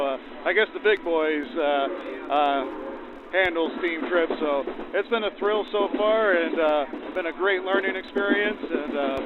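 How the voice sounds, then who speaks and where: A man's voice: a little high, noisy background. A man giving casual, hesitant, folksy interview answers as a volunteer, filtered like a 1940s radio broadcast. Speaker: railroad volunteer crew member. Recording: narrow phone-like vintage radio sound.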